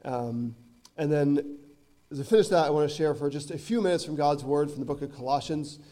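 Hoarse thirties man, missionary addressing a congregation, earnest with ums, warm mellow tone. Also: fairly high pitch, a little fast. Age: 30s. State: hoarse from a cold. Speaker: visiting missionary. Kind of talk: church missionary talk. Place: church sanctuary.

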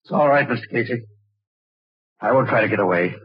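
A man's voice: mid-range voice, brisk, slightly rough, dry recording, natural voice. A man acting a bitter, resigned older father-in-law confessing with aggrieved deliberation in a 1940s radio drama. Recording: restored old radio, muffled and dry.

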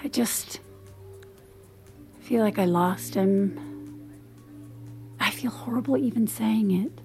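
Slow-paced, guarded woman. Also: mid-range voice, vocal fry, warm tone. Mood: subdued, troubled. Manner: measured, hesitant, understated. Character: reserved, guarded married woman, uneasy among gossiping friends. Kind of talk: naturalistic audio drama dialogue. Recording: music playing behind the voice.

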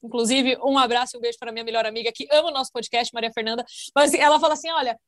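Brazilian woman hosting a podcast, talks super fast, playful and chatty, dry mic sound. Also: on the high side, steady tone, dry recording. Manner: chatty, playful, laughs often. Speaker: podcast host. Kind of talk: casual podcast chat.

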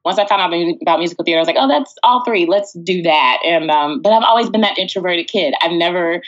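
An American woman with a fairly low voice talks as a guest in a casual interview. She tells her stories chattily and slips into quoted speech. The sound is a little dull and lo-fi. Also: fast talker. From Texas, United States. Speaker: interview guest, musical theater performer. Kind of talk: casual conversational storytelling.

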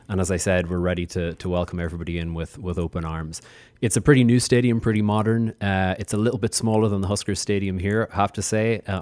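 A man's voice: low-pitched, darkish tone, dry recording. A middle-aged man with an Irish accent, speaking as an upbeat, welcoming guest on a radio interview show. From Ireland. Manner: upbeat, welcoming, frequent uh fillers. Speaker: guest, diplomat.

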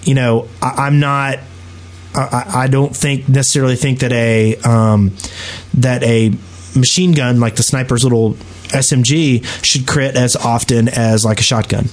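A man speaks at a middle pitch in casual podcast chat. He explains things as he goes, with a halting, conversational delivery. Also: fairly even intonation. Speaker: podcast co-host.

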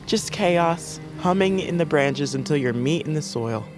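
An actor playing a world-weary, fatalistic wanderer in an audio drama, speaking tersely in archaic, poetic phrases. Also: male voice, a little high, lively intonation, a little slow. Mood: bleak, brooding. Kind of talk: audio fiction drama acting. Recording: dramatic music underneath.

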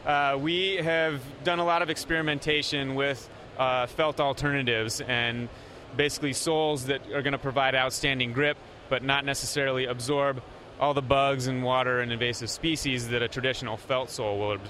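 Bright-voiced man explaining gear. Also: mid-range voice, a little hiss or hum. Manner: steady, explanatory, frequent "uh" pauses. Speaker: company representative. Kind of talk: product explanation in an interview.

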